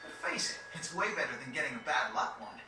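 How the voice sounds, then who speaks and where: A man playing a brash, excitable young teenage boy in a video game cutscene, speaking bluntly and casually. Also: fairly high pitch, fast talker, reverberant, recorded from a distance, background noise.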